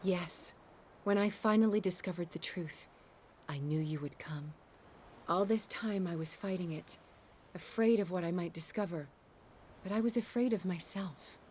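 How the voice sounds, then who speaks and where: A low-pitched female prophet, played by an actress speaks with solemn, cryptic fervor. Her delivery is measured and theatrical, as in a video game cutscene, with clear ambient noise behind her. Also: a little mellow, natural voice. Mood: solemn, confessional. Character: fervent female prophet revealing cosmic truth to a chosen seeker.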